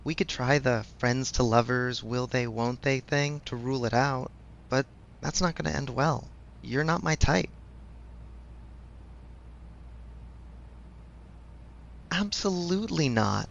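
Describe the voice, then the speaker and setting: Supportive male best friend played by a man, conversational audio roleplay, frank and gently teasing, creaky mid-pitched voice, faintly noisy recording. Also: normal speed, lo-fi sound, lightly acted. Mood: playful, teasing.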